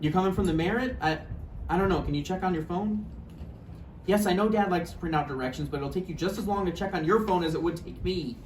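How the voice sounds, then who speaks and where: A man's voice: high-pitched, quick pace, darkish tone, lightly acted. A man playing an anxious, insecure, needy boyfriend in a naturalistic play table read, hesitant and pleading. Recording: echoey room, distant mic, faint noise.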